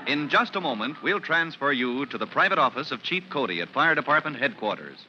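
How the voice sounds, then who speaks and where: A man's voice: mid-range voice, very animated, brisk, lo-fi sound. A man announcing a vintage 1950s radio drama, narrating from a script in a dramatic, declamatory manner. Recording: noisy vintage radio recording.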